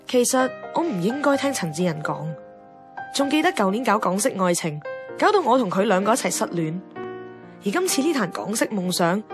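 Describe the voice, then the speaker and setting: Lively, wry young Hong Kong woman, played by an actress. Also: mid-range voice, natural voice. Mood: rueful. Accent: Hong Kong Cantonese. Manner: wry, teasing. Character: wry, teasing young radio show maker, narrating her story. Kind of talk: radio drama dialogue and narration. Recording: music behind the voice.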